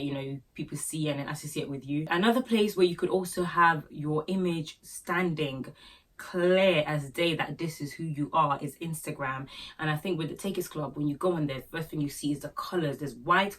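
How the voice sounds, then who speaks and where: Low-voiced woman with a Yoruba accent. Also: recorded from a distance. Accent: Nigerian Yoruba. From Nigeria. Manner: chatty and joking, with frequent asides and fillers. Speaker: small business owner and vlogger. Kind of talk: casual talk to camera giving business tips.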